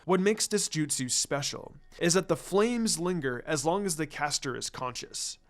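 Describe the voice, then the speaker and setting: A man voicing a serious adult ninja mentor in a fan fiction reading, calm, stern and deliberate as he explains. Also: fairly high pitch.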